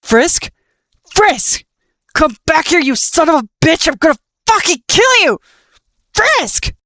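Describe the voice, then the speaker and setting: A woman voicing a bitter, furious cursed male skeleton who shouts his lines. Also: fairly low pitch, expressive, slow pace, no room sound, studio quality, exaggerated voice. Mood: screaming.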